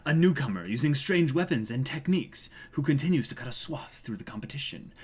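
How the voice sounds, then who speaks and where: A man co-hosting a manga read-along, reading aloud and reacting casually with goofy, excitable exclamations. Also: medium pitch, brisk, slightly rough.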